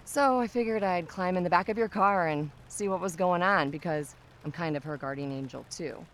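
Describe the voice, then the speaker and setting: A woman's voice: lowish voice, moderate pace, a bit bright, a little hiss or hum. An actress playing a teasing, self-assured young woman, a protective friend, in an audio drama. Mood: probing, frank. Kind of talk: audio drama acting, conversational.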